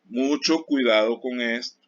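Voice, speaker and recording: A man's voice: medium pitch, a little slow. A man teaching an accounting class, explaining with everyday examples and stopping often to warn his students. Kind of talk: classroom lecture. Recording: dull lo-fi sound.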